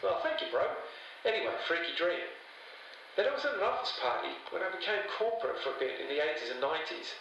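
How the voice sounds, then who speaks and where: Man playing older oddball webmaster, bright forceful voice, very roomy distant recording, clipped slangy phrasing, podcast drama. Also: on the high side, sing-song, fast, full character voice. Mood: earnest, rambling. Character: eccentric older male conspiracy-minded webmaster, rambling and friendly. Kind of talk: audio drama podcast interview. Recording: distant, echoey room with faint noise.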